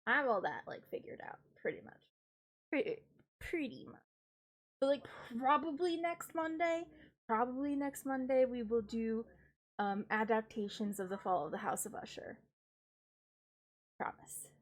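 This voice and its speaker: A woman's voice: a little high, animated, moderate pace, long pauses. A young woman streamer with a stuffed-up, congested voice, chatting casually and laughing at herself. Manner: chatty, self-deprecating, giggly asides. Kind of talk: casual chat.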